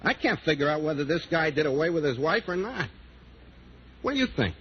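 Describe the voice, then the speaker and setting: A sly sidekick delivers mock-sincere wisecracks with a very lively rise and fall. It is a 1940s radio comedy, heard through a muffled recording. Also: male voice, on the high side, fairly quick, slight background noise. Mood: scheming. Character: wisecracking, sly best friend and freeloading houseguest.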